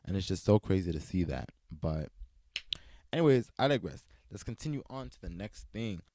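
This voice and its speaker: A young man from the States telling personal stories in a casual sit-down chat, animated and playful, quoting himself in a higher voice. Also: moderate pace, no room sound, studio quality. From United States. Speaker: vlogger.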